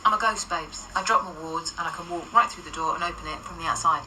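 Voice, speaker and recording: An actress playing a sardonic, streetwise ghost agent who stays unflappable, in a radio drama. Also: female voice, on the low side, quick pace, pushed and bright. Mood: dry, sardonic. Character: wry, unflappable female ghost of a French nun, paranormal agent. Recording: slightly distant, faint background noise and ambience.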